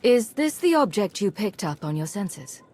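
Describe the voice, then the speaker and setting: An actress playing a cool, capable female commander and agent in a sci-fi game, measured and understated. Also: mid-range voice, big pitch swings, mellow. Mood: measured, curious.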